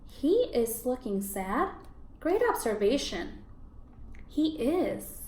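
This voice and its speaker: A woman teaching young children in a read-aloud lesson, encouraging and expressive, asking questions and confirming answers. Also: on the high side, takes it slowly. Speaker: elementary school teacher. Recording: echoey room with background noise.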